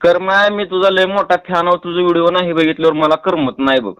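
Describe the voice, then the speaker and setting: A man's voice: high voice, fairly even intonation, brisk, fairly bright tone, clear, resonant tone, no room sound. A man with a rural Marathi accent making a prank phone call, teasing and coaxing in a playful, pestering way. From Tuljapur, Maharashtra, India. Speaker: prank caller. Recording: close, dry phone call recording.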